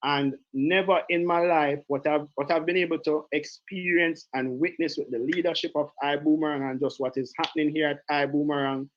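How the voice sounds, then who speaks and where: Brief: Jamaican man, fairly high voice, rambling trainer on a muffled call. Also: fairly even intonation, normal speed, hardly any pauses. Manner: chatty, repeats himself, goes off on tangents. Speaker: sales team leader giving a training. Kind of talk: casual online training call.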